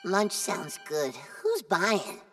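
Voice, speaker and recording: A man's voice: sits high, wildly swooping pitch, very slow, fairly bright tone, heavily acted. An actor playing a laid-back, goofy teenage boy superhero in a video game, with slangy, slack delivery. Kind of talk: video game cutscene acting. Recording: distant game audio under music.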